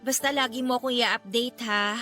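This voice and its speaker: A woman's voice: fairly high pitch, steady tone, moderate pace, sharp tone, soft background music. An actress playing a clingy, desperate young Filipino woman who pleads emotionally with her lover in a radio drama. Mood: sulky, hurt.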